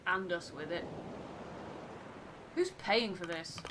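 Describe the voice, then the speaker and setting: Game first mate, log narration female performer, reflective and guarded, lowish register, noisy backdrop. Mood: reflective, wary. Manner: measured, reflective, literary.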